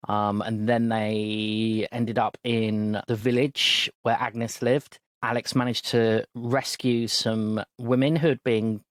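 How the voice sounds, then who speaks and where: A man's voice: lowish voice, takes it slowly, few pauses, no room sound. Man recapping as game master. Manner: relaxed recap with frequent ums. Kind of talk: recapping a tabletop game session.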